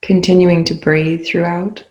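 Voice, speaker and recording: A meditation guide leading a session in a soothing, unhurried manner with long pauses between phrases. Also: medium pitch, level tone, darkish tone, lo-fi sound. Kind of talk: guided meditation, spoken slowly.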